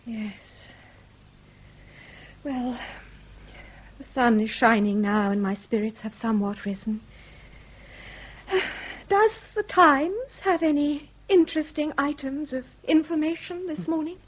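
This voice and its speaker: An actress playing a refined, fragile, melancholy Victorian lady in a British radio drama, with a breathy voice. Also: high voice, slow, pauses often. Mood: wan, polite. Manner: formal, mannered.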